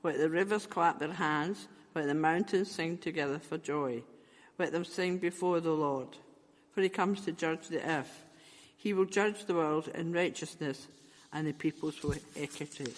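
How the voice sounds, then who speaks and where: A man reading scripture aloud in a measured, careful way. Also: on the high side, in a large hall, rough recording. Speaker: scripture reader.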